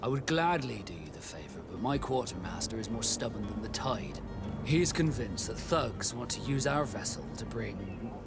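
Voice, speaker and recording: An actor playing a proud, wry ship captain in a video game, speaking in measured, salty nautical phrases. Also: male voice, on the low side, lively intonation, over loud music. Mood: confiding. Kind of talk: video game cutscene acting.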